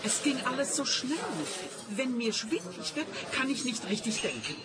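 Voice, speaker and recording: A woman's voice: high voice, very animated, moderate pace, a loud crowd. A woman playing an eccentric, prickly and secretive client in a radio play, talking curtly and insistently. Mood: secretive, earnest. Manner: curt, insistent.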